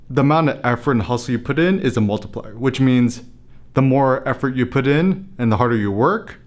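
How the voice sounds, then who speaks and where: A young American man, a photography blogger, talking casually to camera and thinking aloud, with fillers and restarts. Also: medium pitch. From United States. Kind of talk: casual motivational talk to camera.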